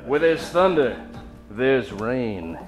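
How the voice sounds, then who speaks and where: A man's voice: medium pitch, big pitch swings, slow. A man playing a cocky, hard-boiled private detective in an old-time radio parody. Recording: roomy stage, music behind.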